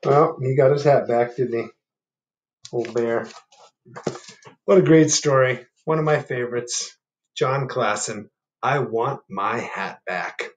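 A man's voice: medium pitch, slow pace, pauses often, slight background noise, rough recording. A man in his fifties doing a picture-book read-aloud, with casual asides and questions to the children listening. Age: 50s. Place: book-lined room at home.

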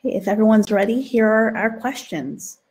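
A woman's voice: mid-range voice, animated, brisk, a little mellow, slightly rough, rough recording. A woman with a mid-range voice moderates a question session. She speaks as an events assistant, reading questions aloud.

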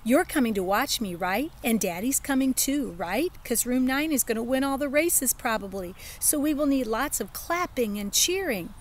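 A woman doing a loud little kindergarten girl. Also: a little high, expressive, moderate pace, hardly any pauses, a little hiss or hum, pitched above the performer's own voice, lightly acted. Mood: eager. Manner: loud, eager, pleading. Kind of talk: children's storybook read-aloud.

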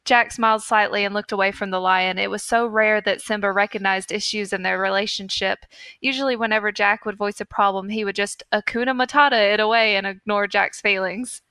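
A woman's voice: mid-range voice, steady tone, brisk, bright, forceful tone, smooth, no room sound. A woman podcast host reading fan fiction aloud in a mock-earnest storytelling manner.

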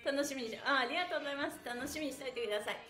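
Brief: middle-aged woman stretch instructor, high bright pitch, bubbly chanting counts, echoey room with faint music. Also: racing. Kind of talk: live-streamed stretch class. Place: small indoor studio room.

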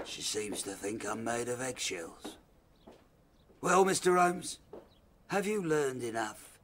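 Imperious older English man, animated pitch. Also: slow pace, a little hiss or hum. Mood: irritable. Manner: brusque, imperious, declamatory. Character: proud, irascible ailing father, self-righteous slum landlord. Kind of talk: British radio drama acting.

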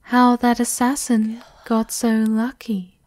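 Woman acting, sinister female servant, half-whispered ASMR roleplay, dark soft tone, very dry close sound, formal threats. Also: on the high side, monotone, dry recording. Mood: quietly accusing. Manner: softly sinister, politely formal, deliberate. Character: devoted, menacing female servant of a vampire countess.

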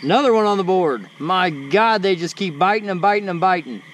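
Excited man fishing at night. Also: high voice, a little fast, slight background noise. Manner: excited, talks while reeling in fish. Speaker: fishing vlogger. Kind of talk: casual outdoor commentary. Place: riverbank at night outdoors. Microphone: action camera, sometimes far.

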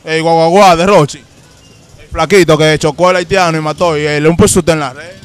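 A man's voice: a little high, fairly even intonation, normal speed, smooth. A man with a Dominican accent hosting casual street interviews on a handheld microphone. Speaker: street interviewer and show host. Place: outdoors on a busy street by open shopfronts.

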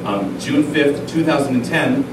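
A man's voice: mid-range voice, moderate pace, background noise. A man in his thirties from Alaska telling comic stories on stage in a dry, self-deprecating way. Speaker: cabaret pianist and storyteller. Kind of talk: comic stage storytelling to a live audience. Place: small cabaret room, indoors. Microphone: stand microphone at piano, filmed from afar. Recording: echoey room, distant camcorder audio.

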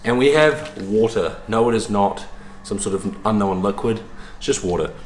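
A man's voice: lowish voice, moderate pace. A young man chatting casually to camera as a fitness vlogger, with blokey, slangy, sweary talk. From New Zealand. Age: young adult. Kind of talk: casual vlog chat to camera. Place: indoors in kitchen, living room and gym. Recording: echoey room with background noise.

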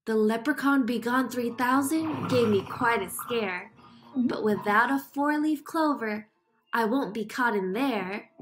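A woman's voice: fairly high pitch, measured pace, lightly acted. A woman voicing a cocky, mischievous old male leprechaun in boastful, teasing rhymes from a picture book. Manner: boastful, teasing, singsong rhyming. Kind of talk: picture book read-aloud. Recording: music behind the voice.

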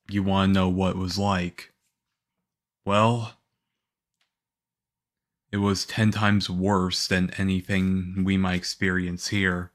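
A man playing a calm, reassuring young government agent in an ASMR roleplay, speaking softly. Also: low voice. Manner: soft-spoken, unhurried, reassuring.

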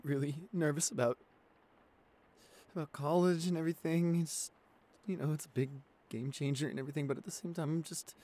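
A man's voice: on the high side, a little mellow, lightly acted. A man voicing a shy, anxious, apologetic nineteen-year-old boyfriend in an ASMR roleplay, stammering and hesitant as he pleads. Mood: apologetic, embarrassed.

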